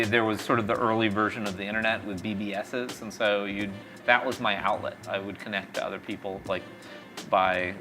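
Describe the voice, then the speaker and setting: Middle-aged man, lowish voice, anecdotal interview answers, music playing behind him. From East Texas, born in Mexico. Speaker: tech company founder, interview guest. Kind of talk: conversational interview storytelling.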